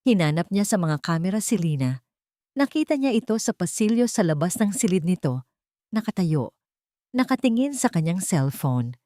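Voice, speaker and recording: Female storyteller, lower register, close dry sound, solemn dramatic scripted narration. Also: sing-song, normal speed, mellow, dry recording.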